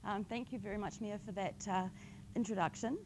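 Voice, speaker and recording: Woman, slightly croaky, mellow tone, friendly lecture, faint background hiss. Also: mid-range voice, fairly even intonation, a little fast, low-quality recording. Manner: friendly, plain explaining with light humour. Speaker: invasive species outreach coordinator. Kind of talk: public science talk with slides.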